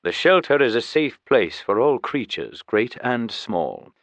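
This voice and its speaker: A man's voice: mid-range voice, very animated, measured pace, a bit bright, no echo, lo-fi sound. A man voicing an immense tiger guardian in an audiobook, formal, dignified and courteous. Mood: calm, courteous. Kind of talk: audiobook character voice.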